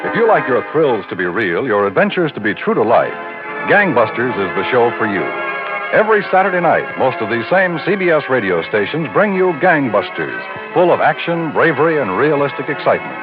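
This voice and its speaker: A man's voice: mid-range voice, big pitch swings, fairly quick. A male announcer reading scripted show credits and promos in a punchy, dramatic 1950s radio style. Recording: muffled old radio broadcast, music behind.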